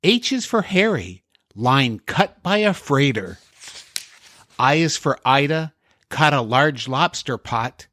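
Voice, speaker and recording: Male podcast host, mid-pitched, close dry recording, scripted bedtime-story delivery with a comic bent. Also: very animated, slow pace, a bit bright, dry recording. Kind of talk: scripted comedy dialogue and storybook reading.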